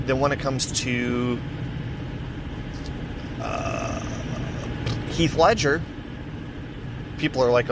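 A man hosting a casual solo podcast, rambling and correcting himself as he chats. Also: medium pitch. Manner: rambling, self-correcting, chatty. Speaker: podcast host. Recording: steady background noise.

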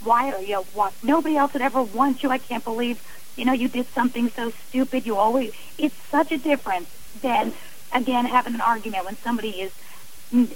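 A woman's voice: fairly high pitch, a little fast, slight background noise, low-quality recording. A woman speaking as an author and guest on a radio interview, earnest and emphatic, often repeating phrases for stress.

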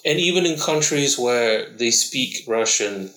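Man, podcast co-host, chatty, lots of fillers, mid-pitched voice, echoey room. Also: lively intonation, measured pace, pushed and bright. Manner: chatty, explains at length with frequent fillers. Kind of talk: casual chat.

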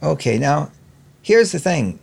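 A man with a gravelly voice hosting a conversational podcast interview. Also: a little high, very animated, a little slow. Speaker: interview host.

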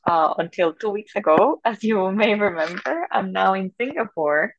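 A woman from Venezuela in her thirties chats casually on a podcast, with a gravelly, fry-tinged voice. She rambles with fillers and restarts, recorded dry and a little dull. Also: mid-range voice, expressive, moderate pace, dry recording. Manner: chatty, many fillers and restarts. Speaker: podcast co-host. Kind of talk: casual chat.

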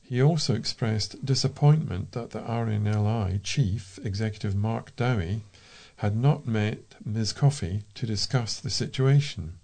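A man reading local news aloud as a volunteer newsreader, in a measured, formal way. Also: fairly low pitch, gravelly, a little mellow.

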